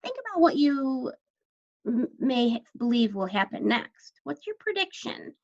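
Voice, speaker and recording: A woman with a highish, swooping voice speaks to young children as their teacher. She explains and questions in simple, deliberate language. The sound is a little muffled. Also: very animated. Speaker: teacher guiding young children through a story.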